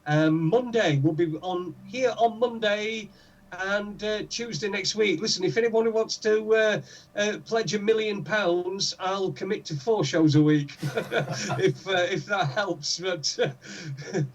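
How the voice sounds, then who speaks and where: A man's voice: high-pitched, distant mic, muffled recording. A man hosting a talk show, signing off casually with lots of fillers and restarts. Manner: halting, full of fillers and restarts. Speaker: podcast host.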